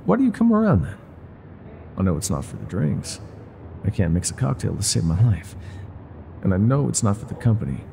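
A man playing a world-weary, gruff but secretly tender older ex-mafia bartender in an intimate, confiding audio roleplay. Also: on the low side, wildly swooping pitch, fairly quick, pauses often, noisy background, exaggerated voice. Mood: gruff warning. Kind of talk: intimate ASMR roleplay monologue.

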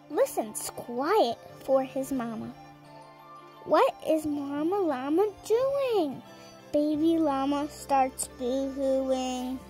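A seven-year-old girl reading a children's story aloud in a deliberate, sing-song, emphatic way. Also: high voice. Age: child, seven years old. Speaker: storyteller reading a picture book.

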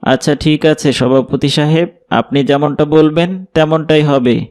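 A man's voice: on the high side, unhurried, darkish tone, natural voice. A man playing a polite, deferential young Quran scholar in a cartoon, speaking respectfully.

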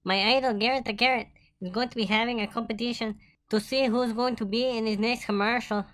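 A woman voicing a small, starstruck young male taco in a cartoon, earnest and excitable. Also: a little high, fairly quick, close to an everyday voice. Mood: excited. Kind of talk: animated cartoon voice acting.

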